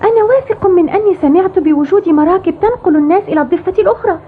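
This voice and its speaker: A woman's voice: extremely high pitch, racing, slight background noise. A woman playing a curious, eager young boy adventurer in a dubbed cartoon. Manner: eager, exclaiming, inquisitive. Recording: muffled tinny cartoon soundtrack with music.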